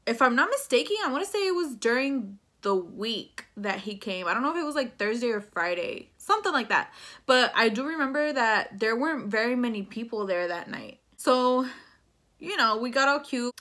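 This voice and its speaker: Bright, chatty young woman. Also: on the high side, expressive, moderate pace. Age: 20s. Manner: chatty, switches between English and Spanish, slangy. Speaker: vlogger telling a personal story. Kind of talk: casual storytime chat to camera. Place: small plain room indoors.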